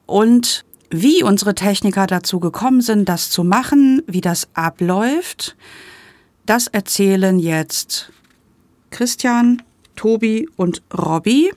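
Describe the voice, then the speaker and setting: A woman presenting an audio report, explaining things clearly and directly to the listeners. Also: mid-range voice, animated, slow pace, a little mellow, no echo.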